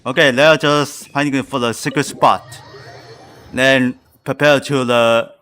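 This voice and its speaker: A man's voice: mid-range voice, fairly even intonation, takes it slowly, fairly bright tone, smooth. A man streaming a game live, commenting casually on what happens as he plays.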